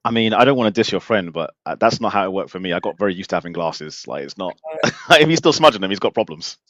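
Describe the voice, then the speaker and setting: A man's voice: low voice, expressive, fast talker, fairly bright tone, dry recording, highs cut off. A man of about forty hosting an interview, joking and teasing in loose, informal chat. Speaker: podcast host.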